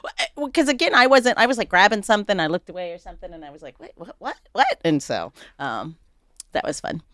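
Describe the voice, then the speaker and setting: A woman's voice: fairly low pitch, lively intonation, fast talker, fairly bright tone, no room sound, studio quality. A woman hosting a podcast, asking questions and chatting casually with her guests. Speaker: podcast host. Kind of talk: casual interview chat.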